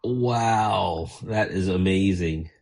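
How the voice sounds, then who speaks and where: A man's voice: low-pitched, extremely slow, a little mellow. A congested man with a cold, co-hosting a podcast with teasing jokes and laughter. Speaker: podcast co-host. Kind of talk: casual podcast chat.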